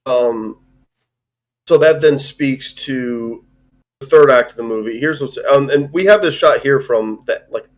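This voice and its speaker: A man co-hosting a casual live movie discussion, talking in a halting, rambling way with plenty of swearing. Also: medium pitch. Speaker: co-host reviewing a film. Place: live online stream.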